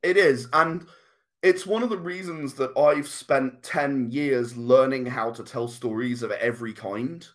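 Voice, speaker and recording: A man's voice: medium pitch, measured pace. A man speaking as an interview guest, thoughtfully explaining his creative work at length in conversation. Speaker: comic and game writer, interview guest.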